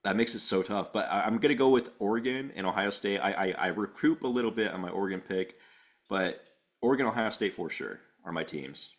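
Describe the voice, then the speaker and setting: A man's voice: fairly low pitch, a little fast. A man co-hosting a sports podcast, talking casually, stammering and dropping in "man" often. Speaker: podcast co-host.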